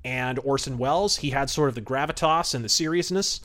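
A man's voice: medium pitch, a little fast, fairly bright tone. A man hosting a podcast, giving casual, enthusiastic commentary in an emphatic, conversational way. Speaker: podcast host.

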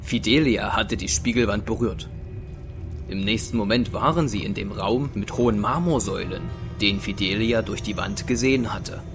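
A man's voice: fairly low pitch, normal speed. Male audio drama narrator over music. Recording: music under the voice.

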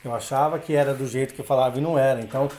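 A man's voice: mid-range voice, very fast. Brazilian man telling stories at an easy pace. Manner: relaxed storytelling, many filler tags. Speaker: guest, English teacher. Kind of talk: casual podcast interview.

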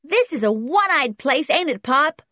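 A woman's voice: high voice, very animated, unhurried, pushed and bright, dry recording, studio quality, voice pushed up, exaggerated voice. A woman voicing a brash, demanding eleven-year-old American boy in a storybook, with a whiny manner.

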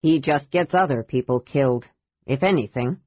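A woman voicing a gruff, stubborn old male dwarf warrior with a grim, grumbling manner in a fantasy storybook. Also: low-pitched, animated, normal speed, no echo, muffled recording. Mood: muttering.

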